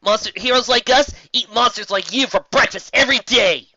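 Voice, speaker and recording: A man voicing a hot-headed young female pony who shouts defiance. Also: very high voice, brisk, no room sound, dull audio, pitched above the performer's own voice, full character voice. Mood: defiant yelling.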